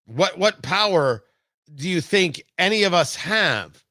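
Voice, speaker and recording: A man's voice: high voice, animated, slow, bright, forceful tone, no room sound, clean studio sound. An American man delivering an opinionated political monologue as a radio host, emphatic and theatrical, repeating phrases for effect. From New York and New Jersey, United States.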